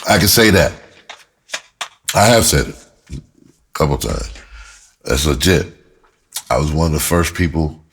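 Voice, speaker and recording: A man's voice: extremely low pitch, lively intonation, a little slow, long pauses, fairly bright tone, slight background noise. A middle-aged American man telling a personal story casually and candidly, in a rambling, slangy way with explicit language. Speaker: storyteller, writer and music producer.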